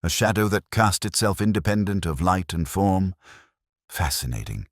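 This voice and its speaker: A man's voice: low-pitched, very animated, moderate pace, dry recording, clean studio sound, voice pushed up. A man voicing an incisive, cool-headed detective in an audiobook story, speaking in precise, theatrical pronouncements. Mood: measured, assured. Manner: precise, declarative, aphoristic.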